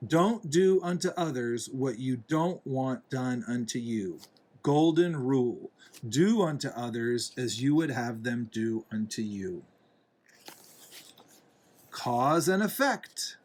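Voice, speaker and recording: Man, father reading storybook aloud, slow pace, deliberate, instructive, gentle explanations for a small child. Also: medium pitch, animated. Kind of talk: read-aloud storybook narration with asides to a young child.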